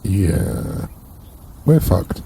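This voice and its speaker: A man's voice: low-pitched, huge pitch swings, drawn-out words, creaky, mellow, husky. A man playing a tabletop game, hesitantly and casually describing what his character does. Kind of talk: casual improvised roleplay narration.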